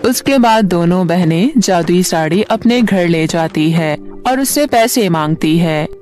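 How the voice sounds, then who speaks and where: A woman's voice: lowish voice, animated, unhurried, a little mellow. A woman reading a scripted fairy tale for children as a storyteller. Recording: music bed under the voice. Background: background music.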